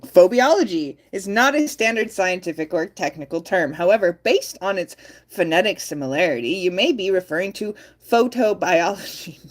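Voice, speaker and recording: A playful woman hosts a casual livestream, laughing often as she reads chat aloud. Her voice sits a little low and swings widely in pitch. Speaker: livestream host.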